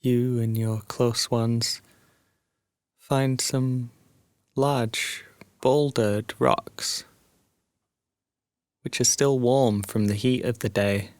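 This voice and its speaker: A man guiding a sleep meditation as a narrated story, soothing and hushed, leaving long pauses between phrases. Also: mid-range voice, fairly even intonation, dry recording.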